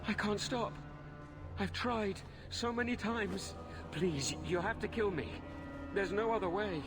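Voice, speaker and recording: A man playing a tormented, pitiful killer in a video game, halting and anguished as he pleads. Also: sits high, music in the background. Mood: despairing pleading. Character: tormented, self-loathing male killer, magistrate's son, pitiful. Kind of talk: video game dramatic acting.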